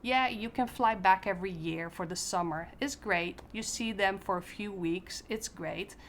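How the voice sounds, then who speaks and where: A woman's voice: medium pitch, normal speed, slight background noise. A woman talking casually to the camera as a parent, in an upbeat, conversational way. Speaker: parent interviewee.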